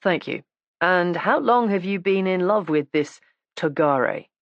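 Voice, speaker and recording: A woman reading the part of a courteous, dry-witted male police inspector who speaks calmly and politely. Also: fairly low pitch, a little slow, no echo, muffled recording. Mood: wry. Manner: calm, polite and wry.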